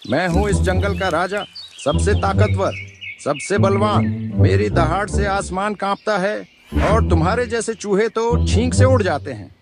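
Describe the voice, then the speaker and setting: Man as a high, creaky-voiced lion king. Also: measured pace. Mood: boastful. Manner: boastful, declamatory. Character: arrogant, bullying lion king of the jungle. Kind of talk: cartoon moral story acting in Hindi. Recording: loud music behind.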